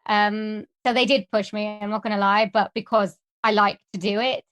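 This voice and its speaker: A Welsh woman talks as an interview guest, earnest and open. She pauses often with soft ums, and her voice sits at a middle pitch. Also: steady tone. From Wales. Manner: earnest, hesitant with frequent ums. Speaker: guest, singer and voice artist. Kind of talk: casual interview answers.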